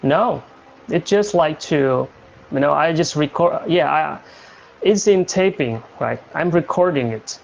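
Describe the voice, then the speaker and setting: A young man from Indonesia hosting a casual livestream chat, talking in a halting way with many fillers and restarts. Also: fairly high pitch, lively intonation, gravelly, slight background noise, rough recording. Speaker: livestream host.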